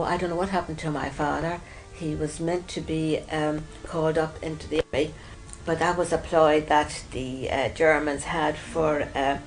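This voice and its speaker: A woman in her seventies from Hungary speaks hesitantly, restarting sentences as she searches for the right words. Her voice sits low for a woman and has a creaky texture, with faint music behind it. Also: poor audio. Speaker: Holocaust survivor interviewee. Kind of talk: documentary testimony.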